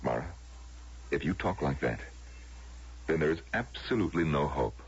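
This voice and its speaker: An actor in an old radio drama playing an earnest, patronizing lover, coaxing and moralizing in a raspy voice. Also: male voice, rumbling low, lively intonation, moderate pace, heavily acted. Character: earnest male lover, patronizing believer in enforced happiness. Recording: dull lo-fi vintage radio drama recording.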